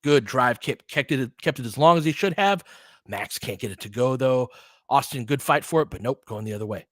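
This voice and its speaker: A man's voice: mid-range voice, animated, a little fast, dry recording, clean studio sound. A man doing live basketball play-by-play in short clipped phrases, with casual, partisan asides like a fan commentator.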